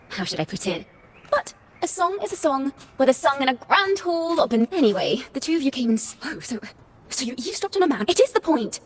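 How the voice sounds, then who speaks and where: An actress playing a playful, theatrical young female bard in a fantasy game, speaking with expressive flourish. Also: high-pitched, moderate pace, a little hiss or hum. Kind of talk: scripted game dialogue.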